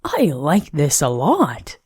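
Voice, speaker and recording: A woman's voice: deep voice, huge pitch swings, takes it slowly, a little mellow, husky, no room sound, clean studio sound, heavily acted. A woman voicing a lovable, cheerful, dramatic snowman who is forever cold, in a children's storybook read-aloud. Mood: pleased.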